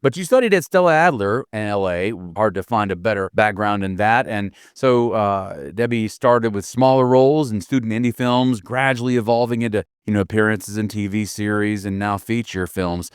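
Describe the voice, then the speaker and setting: A man's voice: lowish voice, moderate pace, hardly any pauses, clear, resonant tone, no echo, studio quality. A man hosting a podcast, friendly and self-deprecating, joking as he introduces his guest. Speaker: podcast host. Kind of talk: casual interview talk.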